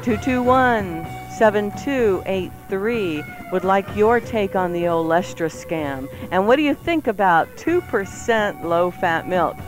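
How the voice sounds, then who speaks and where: A woman banters as a radio co-host in a playful, theatrical way. Her mid-pitched voice swings widely up and down, and music plays clearly behind her. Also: animated, unhurried, hardly any pauses, poor audio. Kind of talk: casual, bantering radio chat. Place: radio studio.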